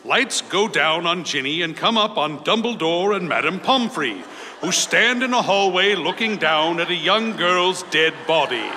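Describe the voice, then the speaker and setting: A man's voice: high-pitched, expressive, unhurried, noisy background. A man reading scripted stage directions as narrator of a staged reading. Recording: echoey hall.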